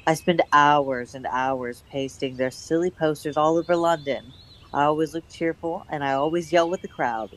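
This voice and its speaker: A woman's voice: low-pitched, natural voice. A woman playing a bold, pragmatic young woman and secretive lover in a radio drama, speaking in endearments. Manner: endearing, frequently says darling.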